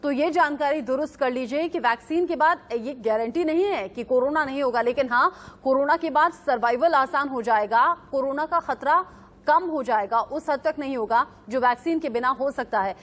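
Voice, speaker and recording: A woman anchoring a TV health show, putting questions to a doctor in a clear, measured way. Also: sits high. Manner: clear, measured questioning with frequent back-channel hums. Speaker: news anchor.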